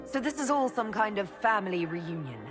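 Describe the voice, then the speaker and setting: A woman's voice: medium pitch, lively intonation, fairly quick, over music, lightly acted. A woman playing a young elven mage heroine in a video game, direct and plain-spoken. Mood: puzzled. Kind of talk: video game cutscene acting.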